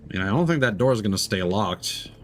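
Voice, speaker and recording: A man with a lowish voice gives casual gameplay commentary as a streamer. His pitch moves around a lot as he reacts, drops into muttered asides and swears. A faint noise with some clicking sits behind him. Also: lively intonation, a little fast. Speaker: game streamer.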